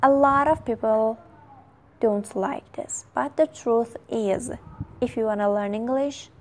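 A woman's voice: fairly high pitch, slow. A woman whose first language is Turkish, outlining an English lesson in an emphatic way and often checking in with "okay?". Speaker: English teacher.

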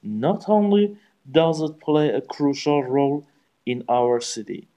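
A man from Iran with an Iranian accent, speaking English as a second language and giving a prepared speech in formal, deliberate phrasing. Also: fairly high pitch, lively intonation, dark tone, highs cut off. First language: Persian. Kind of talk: practice speech, memorized.

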